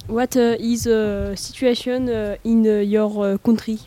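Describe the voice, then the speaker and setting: A woman's voice: a little high, steady tone, unhurried, warm tone, no echo. A teenage female student with a French accent, informally asking questions and interpreting in a hesitant, colloquial way.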